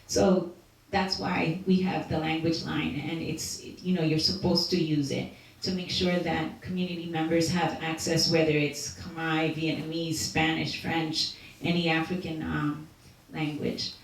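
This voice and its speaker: Woman speaker, low-ish voice, halting delivery, recorded far off in a very echoey hall. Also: little pitch movement. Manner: hesitant, many fillers and restarts. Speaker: community health speaker. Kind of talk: informal talk to doctors.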